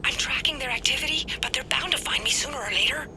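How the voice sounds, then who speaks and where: A woman's voice: sits high, quick pace, background noise. A woman playing a frightened but focused civilian technician in a video game, reporting tensely and precisely. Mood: anxious, focused. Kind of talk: dramatic video game acting.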